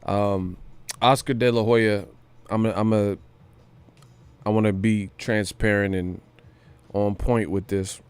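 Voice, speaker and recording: A man with a low, smooth voice commentates on a live boxing stream. His delivery is casual and hyped, full of slang and repeated phrases. The sound is dry and close. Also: steady tone, takes it slowly, long pauses, no room sound. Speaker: boxing news livestream host.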